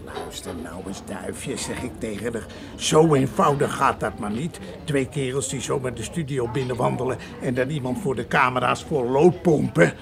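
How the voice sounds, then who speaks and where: An actor playing a folksy, excitable café proprietor in a radio play, garrulous and colloquial in his storytelling. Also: mid-range voice, normal speed, gravelly, a crowd in the background, close to an everyday voice. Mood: excited gossip. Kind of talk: radio drama acting.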